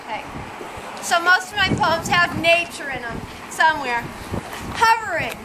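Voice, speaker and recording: A woman reading her own poems aloud at a nighttime vigil in an outdoor gazebo, slow and deliberate, recorded by a distant camera. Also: very high-pitched, background noise. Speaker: poetry reader at a vigil.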